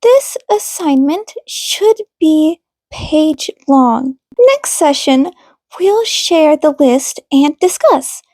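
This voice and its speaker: A woman voicing a composed, professional female couples therapist in an audiobook, speaking in a formal, deliberate, probing way. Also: sits high, expressive, darkish tone, no echo, exaggerated voice. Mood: instructive. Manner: formal, deliberate, clinical phrasing. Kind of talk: audiobook character dialogue.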